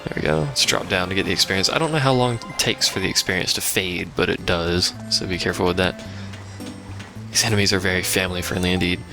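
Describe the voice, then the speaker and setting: A man with a lowish, very creaky voice talks casually through a video game. He rambles along with dry, sarcastic asides while game music plays clearly behind him. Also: fast talker. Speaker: let's play host. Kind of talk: casual gameplay commentary. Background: game music and sounds.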